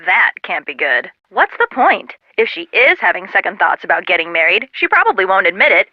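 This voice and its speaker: An actress playing a sassy, excitable young woman, a sarcastic friend in a video game, heard through a telephone filter. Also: mid-range voice, fairly quick, dry recording. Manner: sarcastic, exclaiming. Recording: muffled lo-fi telephone-style sound.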